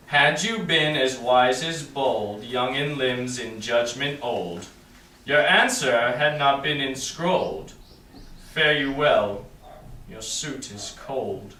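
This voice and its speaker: Man playing a proud prince, bright and declamatory. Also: medium pitch. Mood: dismayed, solemn. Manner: declamatory, deliberating aloud with weighty pauses. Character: proud, self-assured prince of Morocco deliberating as suitor. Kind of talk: Shakespearean verse, staged reading. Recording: roomy, distant mic, faint noise.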